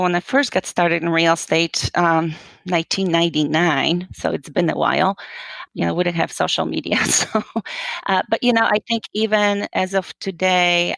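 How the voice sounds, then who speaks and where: Chatty woman from Poland, slightly gravelly. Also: lowish voice, a bit bright, no room sound. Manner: chatty, many fillers and restarts, laughs often. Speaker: guest. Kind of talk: casual interview.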